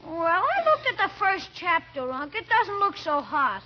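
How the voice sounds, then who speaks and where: A man playing a whiny, bored, cheeky young American boy in a 1940s radio comedy, wheedling in a drawn-out way. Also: very high-pitched, very animated, exaggerated voice. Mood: eager wheedling. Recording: dull, noisy old radio recording.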